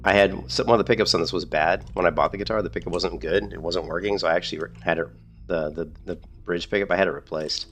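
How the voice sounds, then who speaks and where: A man in a casual, rambling chat about guitars, losing his voice a little and clearing his throat often. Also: low-pitched, steady tone, quick pace, fairly bright tone, faint music behind. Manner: rambling, repeats himself, frequent throat clearing. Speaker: streamer.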